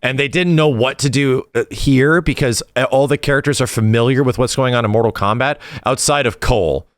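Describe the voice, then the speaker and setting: A man's voice: mid-range voice, fairly quick, no echo, studio quality. A man co-hosting a podcast in casual, rambling, emphatic and opinionated movie chat. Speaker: podcast co-host.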